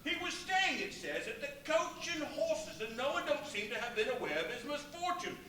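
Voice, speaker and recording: A man playing a chatty, gullible working-class mariner, animated and rambling in a live radio play. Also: very high-pitched, moderate pace, exaggerated voice. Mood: amazed, gossipy. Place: live stage performance in a brewery venue. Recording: echoey, noisy live venue, far from mic.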